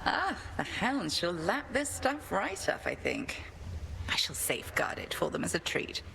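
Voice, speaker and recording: Gravelly, stern witch headmistress. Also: mid-range voice, sing-song, a bit bright, whispered, exaggerated voice, rough recording. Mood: dry, fond. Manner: formal, measured, grave. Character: stern, wise witch headmistress, protective mentor.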